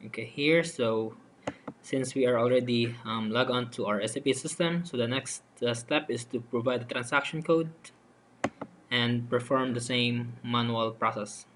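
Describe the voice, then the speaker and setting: A man giving a halting, unscripted, step-by-step software demonstration as a voice-over for a screen recording. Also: mid-range voice. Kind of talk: step-by-step screen tutorial narration. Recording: noticeable steady background noise.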